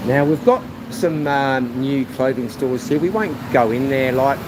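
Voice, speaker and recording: A man live-streaming as he walks, chatting loosely to viewers in a loud, projecting voice. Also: medium pitch, measured pace. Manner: chatty, rambling, addresses viewers as guys. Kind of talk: casual walking live stream. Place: outdoors on a busy rural roadside. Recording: outdoor stream with loud traffic noise. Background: loud traffic and motorbike noise.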